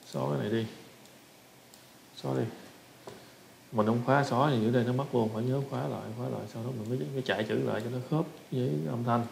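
A man with a Southern Vietnamese accent giving a casual software tutorial, often repeating short phrases as he demonstrates. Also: medium pitch, fairly even intonation, quick pace, gravelly, long pauses. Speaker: tutorial instructor. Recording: roomy echo with background noise.

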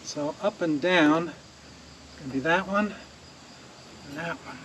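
A man's voice: a little high, slow, a bit bright, rough recording. A man in his forties casually explaining a sawmill repair as he works outdoors, laughing easily. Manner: chatty, laughs easily, talks while working. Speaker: sawmill owner showing repairs. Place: outdoors in a wooded yard beside a sawmill. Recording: outdoor recording with clear background noise.

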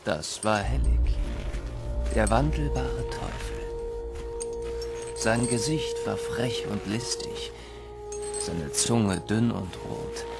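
A man narrating a dark winter legend as a solemn, dramatic storyteller in an audio play. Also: on the low side, takes it slowly, creaky, long pauses, loud music behind the voice.

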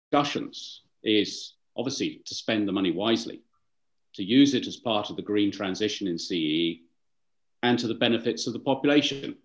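A man moderating a webinar on a video call, giving formal welcomes and introductions, speaking with some fillers and restarts. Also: lowish voice.

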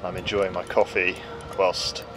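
Solo sailor, male, low-ish voice, chatty and upbeat, casual vlog narration over music. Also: little pitch movement, unhurried. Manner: chatty and upbeat, with some hesitations. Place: aboard a small sailboat.

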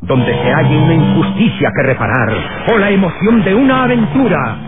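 A man's voice: fairly high pitch, expressive, moderate pace. A man announcing a vintage radio serial in a grandiose, theatrical, dramatic style. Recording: old lo-fi radio, loud music.

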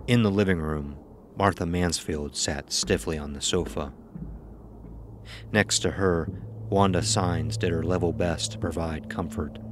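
Very deep, slow-paced male narrator. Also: noisy background. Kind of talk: scripted horror story narration.

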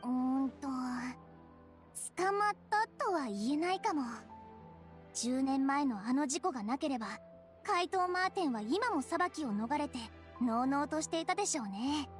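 Eager young female anime reporter, high pitch, theatrical, very animated, music behind. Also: normal speed. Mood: earnest. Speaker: character voice actor. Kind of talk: anime game voice acting.

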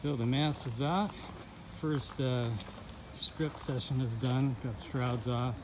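A man's voice: medium pitch, fairly even intonation, slow pace, noisy background. A man working on his boat outdoors, explaining step by step in a practical, matter-of-fact way. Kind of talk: casual explaining to camera while working. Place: outdoor boatyard.

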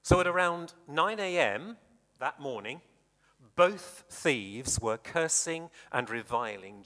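Male preacher, sermon delivery, bright forceful tone, highly varied pitch, deliberate phrasing, long hall reverb. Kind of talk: sermon with scripture reading.

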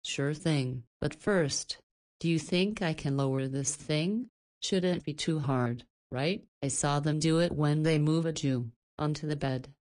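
Woman acting a caring flirty game lady, low voice, bossy and motherly, teasing as she scolds. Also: a little slow, a little mellow. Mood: playful, caring. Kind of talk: visual novel voice acting.

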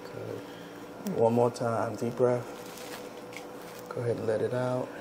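A man's voice: medium pitch, level tone, slow pace, creaky, background noise. A man working as a chiropractor, giving a client short, repeated, reassuring cues during a hands-on ASMR bodywork session in a small room. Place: small indoor treatment room.

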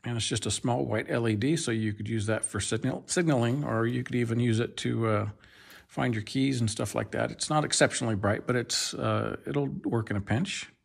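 A man giving a casual, unscripted product review in a home kitchen, with a creaky voice and a halting, plain-spoken manner. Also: mid-range voice.

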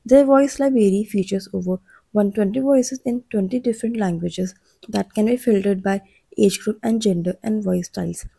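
A woman narrates a scripted explainer in a soft, dark-toned voice with some vocal fry. Her delivery is measured, and the recording is dry and close, with no room sound. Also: medium pitch, dry recording. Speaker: channel narrator.

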